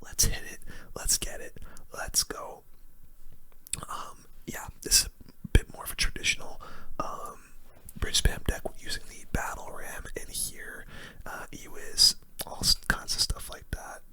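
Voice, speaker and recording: A young man speaks in a steady whisper, very bright and sibilant. He gives informal, relaxed ASMR game commentary right up close to the microphone. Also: measured pace. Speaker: gaming video creator.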